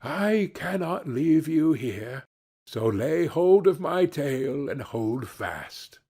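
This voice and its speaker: Man voicing a slow, instructing old fox. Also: fairly high pitch, sing-song, dark tone, breathy edge, full character voice. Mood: reproving, helpful. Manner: instructing, deliberate. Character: wise old male fox giving earnest counsel.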